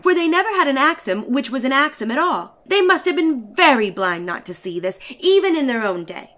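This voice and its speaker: A woman's voice: high-pitched, fairly bright tone. A woman reading a literary short story aloud in a theatrical, emphatic and formal manner.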